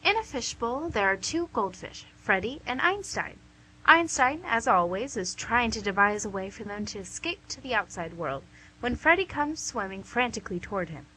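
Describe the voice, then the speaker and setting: A woman announces and narrates a radio play from a script. Her voice is bright and a little high. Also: lively intonation, moderate pace, husky, poor audio.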